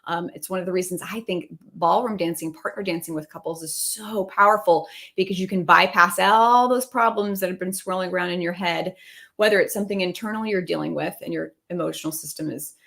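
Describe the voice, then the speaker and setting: A woman in her thirties with a slightly low voice talks on a live video call. She speaks as a relationship coach in a conversational style, as in an informal discussion with a colleague. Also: a little fast, vocal fry, a bit bright. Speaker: couples coach. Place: indoor room, video call.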